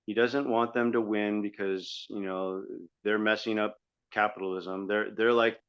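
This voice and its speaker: A man's voice: lowish voice, fairly even intonation, unhurried, clear, resonant tone. A man co-hosting a podcast, giving argumentative, critical political commentary in a conversational style. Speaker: podcast co-host. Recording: dull lo-fi audio.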